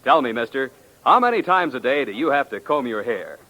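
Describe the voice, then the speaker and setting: A man's voice: mid-range voice, big pitch swings, a little fast, sharp tone, low-quality recording. A man with an American accent reading 1940s radio advertising as a polished commercial announcer. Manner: polished salesmanship.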